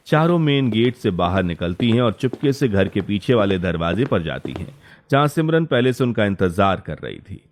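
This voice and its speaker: Animated male story narrator. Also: fairly low pitch, moderate pace, slight background noise. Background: faint music.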